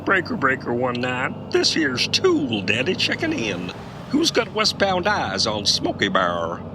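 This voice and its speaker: A man's voice: mid-range voice, wildly swooping pitch, a little slow. A man playing a cocky, dim, macho American trucker and amateur detective in a comic audio drama, folksy and self-assured. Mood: confident. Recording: noisy vehicle and road ambience.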